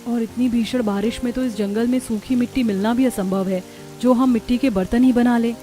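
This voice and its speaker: Woman acting a high, soft-toned young village wife. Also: steady tone, fairly quick, faint music behind, natural voice. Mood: practical. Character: resourceful, earnest young village daughter-in-law. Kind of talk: acted dialogue in a Hindi cartoon story.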